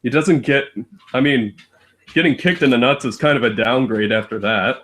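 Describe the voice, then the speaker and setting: A man's voice: medium pitch, normal speed, fairly bright tone. A man making casual, joking commentary as he reacts to a story. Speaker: co-reader and commentator on a live reading stream.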